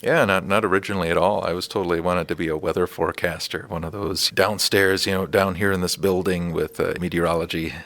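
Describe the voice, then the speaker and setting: Deep-voiced man in his thirties. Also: fairly even intonation, a bit bright. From Detroit area, Michigan, USA. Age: 30s. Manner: thoughtful, halting, with repeated words. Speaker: scientist, former student. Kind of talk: conversational interview.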